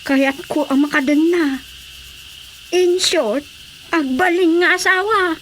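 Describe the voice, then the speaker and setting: High-voiced, bold rebel commander. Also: female voice, takes it slowly, fairly bright tone, slight background noise, exaggerated voice. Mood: insistent. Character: woman rebel commander, bold and teasingly lustful. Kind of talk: radio drama acting.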